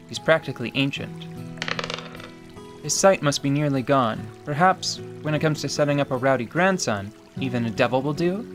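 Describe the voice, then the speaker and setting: A man narrating a scripted tabletop radio drama in a measured, wry way, over a music bed. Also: mid-range voice.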